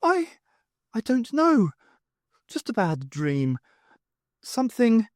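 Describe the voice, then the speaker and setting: A shaken young princess, as a male narrator puts her on, her voice pushed well up and breathless. She speaks urgently but slowly. The reading is dry and close. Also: fairly high pitch, sing-song, a little mellow, no echo, voice pushed up. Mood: dazed. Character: frightened young princess navigator, just woken.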